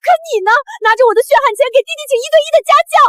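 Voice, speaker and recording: An actress playing a wronged eighteen-year-old daughter, bitter and proud, in a melodramatic short drama. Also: female voice, very high voice, rapid-fire, dry recording, clean studio sound. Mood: indignant, hurt. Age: young adult.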